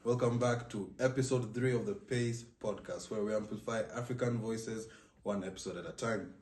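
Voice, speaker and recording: A man's voice: on the low side, normal speed, darkish tone. A man hosting a podcast interview in an informal, conversational way. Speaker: podcast host. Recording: echoey room, distant from the mic.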